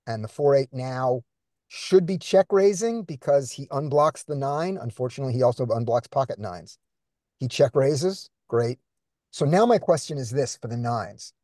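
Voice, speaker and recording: A man's voice: medium pitch, warm tone, no echo, studio quality. A man coaching a group through poker hands on a video call, thinking aloud and quizzing his students. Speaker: poker coach leading a study session.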